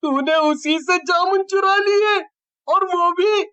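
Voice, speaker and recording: A man's voice: extremely high pitch, slow pace, bright, forceful tone, lo-fi sound, full character voice. A man voicing a cautious, timid young village friend in a cartoon story, earnest and full of exclamation. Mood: amazed.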